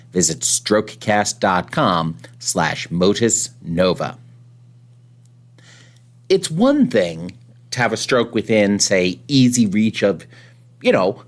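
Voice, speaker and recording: A middle-aged man, hosting a podcast with scripted introductions and conversational interviewing. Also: on the low side. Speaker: podcast host.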